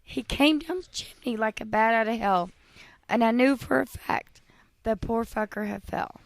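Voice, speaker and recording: Hoarse southern gal, giggly and brash, kinda high voice. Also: female voice, normal speed, dry recording. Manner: giggly, brash, laughs mid-line. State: hoarse, losing her voice. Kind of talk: crude casual banter and bawdy poem reading.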